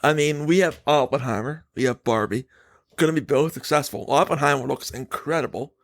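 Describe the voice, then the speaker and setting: A man's voice: mid-range voice, fairly quick, no room sound, clean studio sound. A man hosting a podcast, giving his opinions in casual, emphatic chat and putting questions to his co-host.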